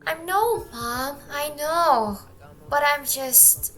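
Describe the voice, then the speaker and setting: A woman playing a worried, sleepless young girl in a radio drama, speaking hesitantly and haltingly. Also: high voice, a bit bright, exaggerated voice, poor audio. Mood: weary, worried. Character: worried, sleepless young girl fretting over her friend.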